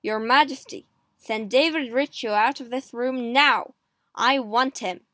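A woman's voice: high voice, animated, slow pace, pushed and bright, no echo, clean studio sound, full character voice. A woman voicing an angry, commanding nobleman in an audiobook story, barking out threats and orders. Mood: angry, menacing. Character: angry menacing nobleman with a knife, gruff and commanding.

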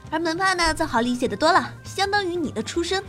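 A woman's voice: very high-pitched, animated, fast talker, a bit bright. A woman host reading scripted gaming narration in a playful, dramatic storytelling manner. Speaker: game show host and streamer. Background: background music.

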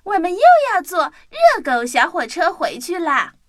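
Children's radio play: playful small child, extremely high and animated, over music. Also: female voice, normal speed. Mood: excited. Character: playful, excited small child party guest. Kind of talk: children's audio drama.

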